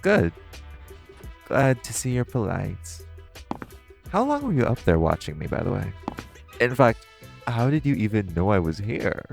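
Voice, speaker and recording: A man's voice: fairly low pitch, sing-song, measured pace, gravelly, long pauses, a little mellow, soft background music, heavily acted. A man playing a flirty, cocky supervillain master thief in an audio roleplay, teasing and theatrical. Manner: teasing, theatrical, purring, chuckling. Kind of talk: audio roleplay acting, intimate monologue to listener.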